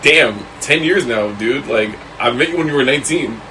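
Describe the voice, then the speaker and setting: A man's voice: medium pitch, moderate pace, recorded from a distance, background noise. A man in his late twenties co-hosting a casual podcast, playful and teasing. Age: 20s. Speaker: podcast co-host. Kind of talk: casual chat.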